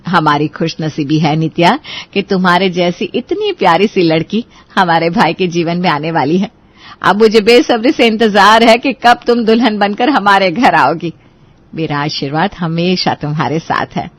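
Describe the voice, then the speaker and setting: A woman's voice: fairly low pitch, moderate pace, no echo, lightly acted. A woman voicing a kindly, motherly middle-aged elder sister in an audio story. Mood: blessing, welcoming. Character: kindly, motherly forty-five-year-old elder sister.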